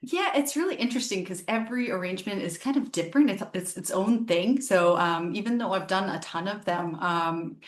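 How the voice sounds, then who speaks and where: A woman's voice: mid-range voice, a little fast, hardly any pauses, echoey room. A woman harpist and singer from the United States, answering interview questions in a chatty way, thinking aloud with many ums and restarts. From Northern Virginia, USA.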